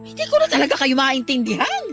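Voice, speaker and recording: A woman's voice: sits high, huge pitch swings, fast, a bit bright, soft background music, exaggerated voice. A woman playing an older grandmother and mother of the heroine, nagging and scolding in a radio drama.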